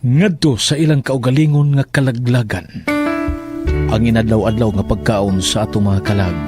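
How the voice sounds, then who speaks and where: A man's voice: medium pitch, steady tone, normal speed, music in the background. A man with a Filipino accent giving a solemn, scripted reading of scripture. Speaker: scripture reader.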